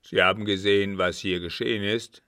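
A man voicing a stern, suspicious army captain in an audiobook, gruff, haughty and accusing. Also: on the low side, fairly even intonation, brisk, bright, forceful tone, dry recording, clean studio sound. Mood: angry, accusing. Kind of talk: audiobook character voice.

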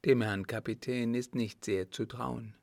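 A man's voice: mid-range voice, steady tone, unhurried, gravelly, dry recording, studio quality. A man voicing a bold, cool-headed young woman in an audiobook, composed and defiant. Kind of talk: audiobook character voice.

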